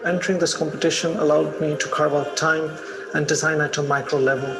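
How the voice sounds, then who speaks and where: A man's voice: fairly high pitch, flat delivery, brisk. A man, an architect, reading a prepared statement about his design, with an occasional uh. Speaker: architect and prize winner. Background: music.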